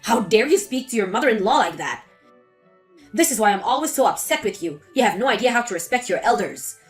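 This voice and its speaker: A woman's voice: a little high, animated, brisk, faint music behind, pitched below the performer's own voice, natural voice. A woman voicing a spiteful, scolding older mother-in-law in a scripted text-story drama. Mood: scornful. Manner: scolding, contemptuous.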